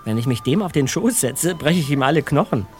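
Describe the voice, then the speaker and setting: A man's voice: on the high side, lively intonation, a little fast, close to an everyday voice. A man playing an exasperated, sarcastic teenage boy detective in an audio drama, grumbling and exclaiming. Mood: wry. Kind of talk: German audio drama dialogue. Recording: music behind the voice.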